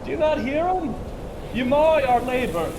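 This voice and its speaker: Guy acting a loud shakespeare bosun, super high pitched and bossy, noisy recording. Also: big pitch swings, normal speed. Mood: brusque, impatient. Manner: loud, blustering, barking orders. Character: bawling, insolent, harried ship's bosun in a storm. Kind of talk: Shakespearean audio drama acting. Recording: clear noise, online remote recording, storm ambience.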